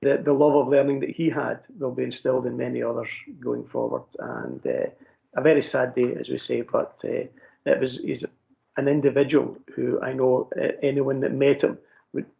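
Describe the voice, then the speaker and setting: A man, a councillor, giving a halting formal tribute at a committee meeting, with many fillers and restarts. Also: mid-range voice, gravelly. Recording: dull lo-fi meeting audio.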